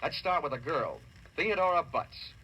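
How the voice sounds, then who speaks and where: A man's voice: fairly high pitch, animated, normal speed, sharp tone, husky, full character voice, poor audio. An actor playing a curt, wisecracking, hard-boiled male insurance investigator in an old-time radio drama. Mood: dry, businesslike. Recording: old radio recording, faint noise.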